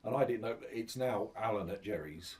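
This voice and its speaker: A man's voice: fairly low pitch, moderate pace, darkish tone, distant mic, slight background noise. A middle-aged man, a shop manager, telling rambling anecdotes with many restarts in a casual interview.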